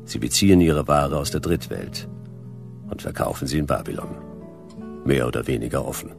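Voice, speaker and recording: An actor playing a laconic, world-weary private detective, narrating a radio drama in deadpan, terse fragments. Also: male voice, very deep voice, moderate pace, gravelly, music in the background, exaggerated voice. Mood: cynical.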